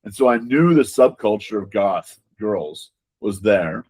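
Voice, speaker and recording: A man's voice: on the low side, expressive, measured pace, a little mellow. A man giving conversational interview answers as a game designer, emphatic, repeating words and often checking in with rhetorical questions.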